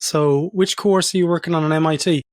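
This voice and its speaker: Male podcast host, slightly higher-pitched voice, playful and easygoing. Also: fairly even intonation, brisk, dry recording, studio quality. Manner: jokey, playful asides. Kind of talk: scripted intro then casual interview chat.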